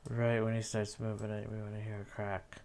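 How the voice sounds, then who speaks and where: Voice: medium pitch, completely flat delivery, fairly quick, gravelly, a little mellow. A video editor giving casual screen-recording commentary, musing to themselves while working. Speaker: video editor narrating own editing session.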